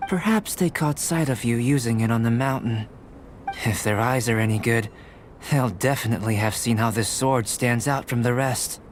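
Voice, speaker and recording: A man playing a calm, analytical young alchemist in a video game, speaking in a measured, precise and formal way. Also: medium pitch, slight background noise, natural voice. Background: music.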